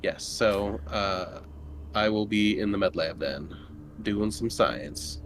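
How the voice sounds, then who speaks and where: Low-voiced man playing a tabletop game. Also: slow pace. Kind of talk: casual chat during a game. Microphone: online group call. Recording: faint background noise on online call.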